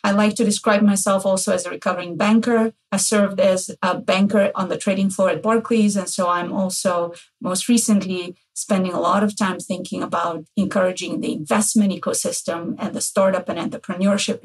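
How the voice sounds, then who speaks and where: A woman's voice: mid-range voice, steady tone, normal speed, slightly rough, poor audio. A woman from Bulgaria, a healthcare executive, speaking as a guest in a poised, articulate way. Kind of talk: podcast interview.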